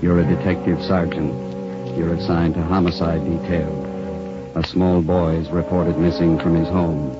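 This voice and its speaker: A man with a deep voice speaks in short, grave phrases in dramatic 1950s radio style. Loud music swells behind him on a dull old recording. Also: level tone, normal speed. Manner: grave, terse, portentous. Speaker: radio announcer.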